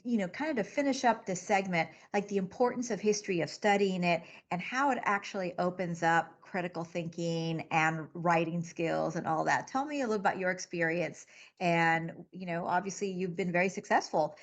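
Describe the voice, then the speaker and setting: A woman's voice: lowish voice, normal speed. Effusive woman hosting an interview. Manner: effusive, lots of praise for guests. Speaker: guest host.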